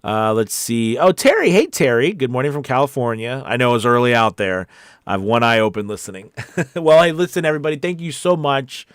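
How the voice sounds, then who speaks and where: A man's voice: mid-range voice, animated, fairly quick, clean tone, no room sound, clean studio sound. A man hosting a casual livestream Q&A as a voice-over coach, talking in a conversational, rambling and encouraging way.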